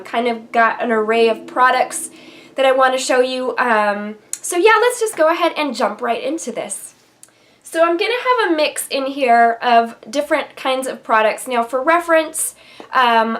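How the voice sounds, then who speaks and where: A woman's voice: on the high side, fairly bright tone. A young woman with a nasal voice from a cold, chatting casually to the camera about makeup in her bedroom, with frequent ums. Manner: chatty and enthusiastic, many ums. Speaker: beauty vlogger. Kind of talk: casual product review to camera. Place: bedroom with vanity and shelves, indoors.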